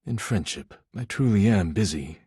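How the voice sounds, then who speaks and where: Dignified game character, deep register, heavily husky, formal diction, measured, earnest, proud. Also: male voice, lively intonation, dark tone, no echo, studio quality. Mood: guarded, civil. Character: formal, proud, earnest man devoted to his work and art. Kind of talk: video game character voice acting.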